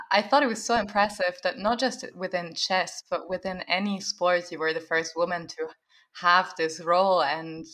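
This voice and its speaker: A woman's voice: lowish voice, fairly bright tone. A woman from Germany, hosting a podcast and asking long, chatty questions. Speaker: podcast host. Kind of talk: podcast interview.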